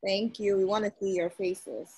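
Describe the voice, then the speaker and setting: A young woman from Ghana hosting an online meeting in a relaxed, chatty way with many fillers, heard over a video call. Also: medium pitch, normal speed, breathy edge, poor audio. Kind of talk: casual meeting talk.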